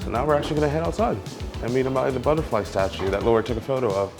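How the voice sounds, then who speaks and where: A man vlogging on a cruise ship deck, chatty, excitable and teasing in his casual talk. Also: medium pitch, very fast, vocal fry, music in the background. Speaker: travel vlogger. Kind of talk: casual vlog chat.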